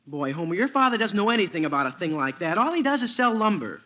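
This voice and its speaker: High, cracking-voiced American teenage boy. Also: sing-song, fast, pushed and bright, full character voice. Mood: boastful, insistent. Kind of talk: 1940s radio sitcom acting.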